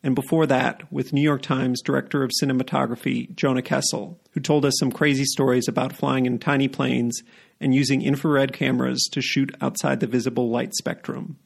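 Guy hosting a podcast, a bit of vocal fry, easygoing questions. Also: mid-range voice, level tone, a little fast. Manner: friendly, prompting questions. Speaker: podcast host. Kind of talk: interview host.

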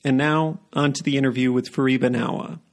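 Guy hosting a podcast, a bit of vocal fry, easygoing questions. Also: mid-range voice, fairly even intonation, a little fast. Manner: friendly, prompting questions. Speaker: podcast host. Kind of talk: interview host.

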